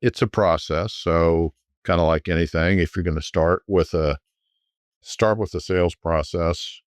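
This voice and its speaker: A middle-aged man, a guest recalling his years selling private jets, talking in a relaxed, rambling way with frequent restarts. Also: low voice, measured pace, no echo. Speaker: guest, former private jet sales executive. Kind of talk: conversational storytelling.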